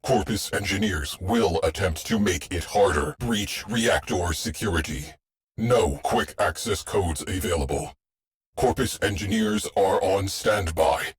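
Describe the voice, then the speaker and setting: A low, husky male ship AI from a sci-fi game. He speaks in clipped, deadpan commands with a slow, measured delivery. The temper is stoic and coldly commanding, like a tactical officer issuing orders. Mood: flat, businesslike. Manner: clipped, deadpan, short imperative phrases. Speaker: tactical mission operator. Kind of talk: scripted video game voice lines.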